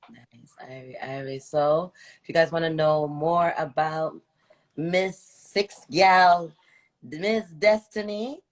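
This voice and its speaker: Woman, interview host, low pitch, casual chat, encouraging, slangy interjections. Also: fairly even intonation, slow pace.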